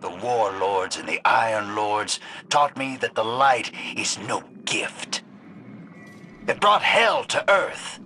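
An actor voicing a shady, streetwise rogue and survivor in a video game, with slangy, conspiratorial swagger. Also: male voice, on the low side, lively intonation, slow, sharp tone, slight background noise, heavily acted. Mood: chummy, confiding. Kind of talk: video game character dialogue.

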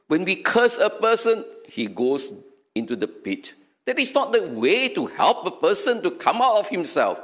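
A man's voice: sits high, fairly bright tone. An older man with a Singaporean accent, an archbishop giving an earnest sermon into a stand mic in a small chapel. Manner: earnest, emphatic, preaching with hand gestures. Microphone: stand mic in front.

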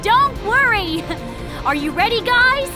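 A woman's voice: extremely high pitch, sing-song, slow pace, over music, full character voice. A woman voicing an eager, brave young boy bus in a children's cartoon, earnest and exclamatory. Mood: triumphant. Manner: exclamatory, wide-eyed, earnest.

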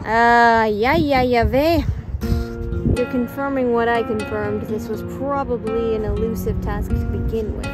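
Young female vlogger, higher register, casual and jokey, self-deprecating, handheld sound with a music bed. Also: steady tone, measured pace. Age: young adult. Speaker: vlog host. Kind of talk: casual vlog chat to camera. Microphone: phone or camera at arm's length. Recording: music behind, handheld outdoor and indoor vlog.

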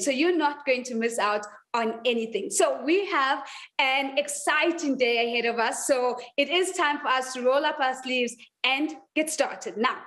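A woman's voice: sits high, fairly bright tone. A young adult woman speaking as the host of an online conference, presenting to its audience. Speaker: event host. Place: indoors against a plain wall.

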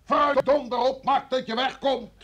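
An actor playing a senior security chief in an old radio drama, brusque and formal, with a husky voice and an authoritative manner. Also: male voice, extremely high pitch, fairly even intonation, a bit bright, lo-fi sound. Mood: angry, commanding. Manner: authoritative, formal, measured phrasing. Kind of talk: vintage Dutch radio drama acting.

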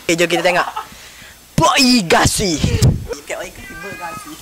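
A man's voice: high-pitched, very animated, unhurried, loud music behind the voice, rough recording. A young man with an east coast Malay accent, bantering casually as he hosts prank phone calls. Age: young adult. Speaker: prank call video host.

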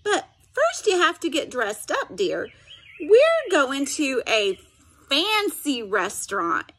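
A woman's voice: high-pitched, huge pitch swings, slow, fairly bright tone, heavily acted. Woman playing a fussy, drawling mother frog. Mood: instructing.

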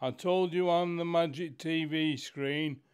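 A man's voice: fairly high pitch, measured pace, clean tone, close to an everyday voice. A man voicing a teasing magic TV screen in a radio pantomime. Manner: teasing, cryptic. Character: mysterious magic TV screen, male.

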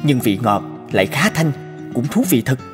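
A man's voice: mid-range voice, big pitch swings, normal speed, music in the background, natural voice. Earnest young teahouse owner played by a man. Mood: thoughtful. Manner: respectful, explanatory. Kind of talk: Vietnamese cartoon dub.

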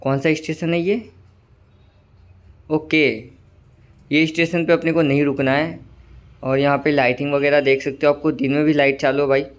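A man with a slightly hoarse throat giving casual, chatty commentary over a train simulator game, often calling viewers "brother". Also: on the high side, level tone, normal speed, pauses often. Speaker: gaming channel host.

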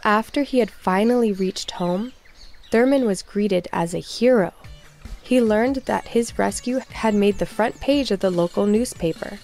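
Expressive woman reading a children's storybook. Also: medium pitch, measured pace, soft background music. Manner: expressive, clearly phrased for children. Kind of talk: scripted storybook narration.

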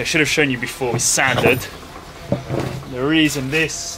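A man in his thirties talks through his project to a camera held at arm's length, relaxed and explanatory, with plenty of small fillers. His voice sits in an ordinary middle register. A steady hum of machinery and air conditioning runs clearly under the camera audio. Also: mid-range voice. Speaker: boat restoration vlogger. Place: open-sided wooden workshop. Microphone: handheld camera at arm's length.

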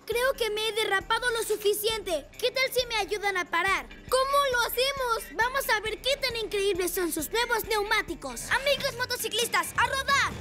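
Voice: extremely high pitch, moderate pace, few pauses. An actor voicing an eager, kind young boy rescue motorcycle in a children's cartoon, with an earnest, encouraging way of talking. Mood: eager, determined. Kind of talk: animated children's series dub. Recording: music behind the voice.

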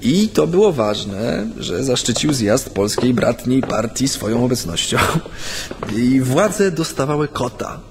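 A man's voice: mid-range voice, sing-song, unhurried, heavy background noise. An actor playing a talkative, wry dissident recounting his past to an interviewer in a radio play, with hesitant, chatty delivery. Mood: wry reminiscing. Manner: hesitant, chatty, amused storytelling. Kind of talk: radio drama acting, recounting memories in an interview. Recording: studio radio play with faint music.

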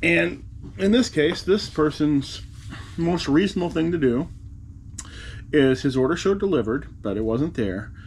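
A man's voice: fairly high pitch, animated, measured pace, long pauses, slight background noise. A man in his forties, a reseller vlogger, talking to the camera from behind a desk in a cluttered room, with a wry, rambling manner. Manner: chatty and wry, rambling asides. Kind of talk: casual talk to camera. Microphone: camera in front of him at the desk.

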